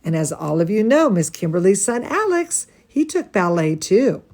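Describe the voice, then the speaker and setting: A woman's voice: mid-range voice, big pitch swings, normal speed, darkish tone. A woman reading a children's story aloud as a teacher would, expressively, with chatty asides and questions to her young listeners. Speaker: dance studio teacher reading a bedtime story to students.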